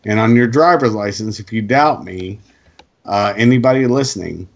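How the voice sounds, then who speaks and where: A male co-host giving opinionated casual talk, explaining emphatically with halting pauses over a lo-fi connection. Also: fairly low pitch. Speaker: co-host putting questions to the guest.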